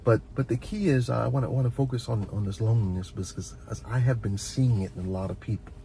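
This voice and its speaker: A middle-aged man talks through heartfelt advice in a mellow, fry-edged voice. He is reflective and earnest, often stopping to repeat a word before carrying on. A faint low rumble of noise sits behind him. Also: fast. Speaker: host of a relaxation and advice channel. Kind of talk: informal heartfelt advice monologue.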